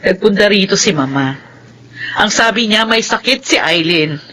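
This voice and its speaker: A woman's voice: mid-range voice, expressive, moderate pace, sharp tone. A woman playing an earnest, emotional wife and mother in a Filipino radio drama. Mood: earnest, explaining.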